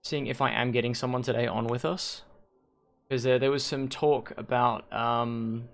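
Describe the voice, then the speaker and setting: A hoarse man hosting a league stream on a headset mic, rambling and correcting himself as he thinks aloud. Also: mid-range voice, fairly even intonation, normal speed. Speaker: league host and shoutcaster. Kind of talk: casual livestream commentary.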